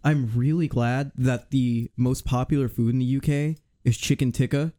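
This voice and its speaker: A man co-hosting a podcast, explaining things in a relaxed, casual way. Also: mid-range voice, moderate pace. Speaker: podcast co-host. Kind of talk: casual chat.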